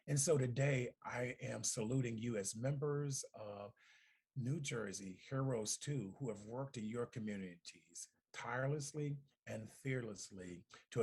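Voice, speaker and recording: A middle-aged man delivering a congratulatory speech as a community organization director, deliberate and emphatic, with rhetorical repetition. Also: on the low side, darkish tone, breathy edge. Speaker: community organization director giving recorded remarks. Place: at home.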